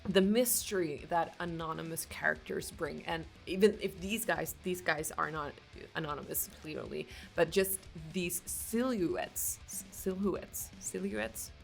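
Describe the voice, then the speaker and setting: A woman's voice: lowish voice, measured pace, gravelly, soft background music. A woman from Finland with a Finland-Swedish accent and Swedish as her first language, gushing with enthusiasm as she hosts casual reaction commentary. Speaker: reaction video host.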